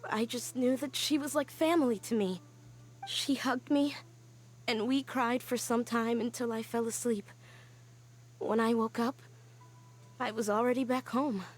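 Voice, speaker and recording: A woman voicing an earnest young woman scholar in a game, telling her story quietly and sighing often. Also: a little high, steady tone, a little slow, long pauses. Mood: wistful. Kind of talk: game cutscene voice acting.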